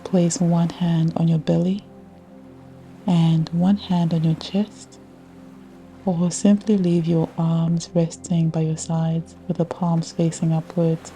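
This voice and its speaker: A woman guiding a scripted yoga nidra meditation in a soothing, measured way with long pauses. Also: lowish voice, level tone, mellow. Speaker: meditation guide. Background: ambient music with ocean sounds.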